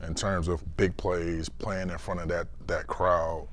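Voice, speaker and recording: A man's voice: low-pitched, flat delivery, a little slow, a little hiss or hum. A man in his thirties recalling his football days casually in an interview, repeating phrases for emphasis. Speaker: former football player.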